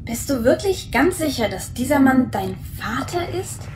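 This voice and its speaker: Thoughtful artificial woman, high voice, roomy sound. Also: sing-song, normal speed, noisy background. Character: artificial young woman, curious and calm. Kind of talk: audio drama acting.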